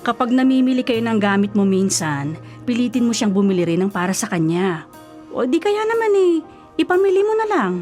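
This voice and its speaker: An actress playing a caring, protective middle-aged mother in a Filipino radio drama, gently chiding in a Filipino accent. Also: a little high, sing-song, a little fast, soft background music. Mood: pointed, nagging. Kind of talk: Tagalog radio drama acting.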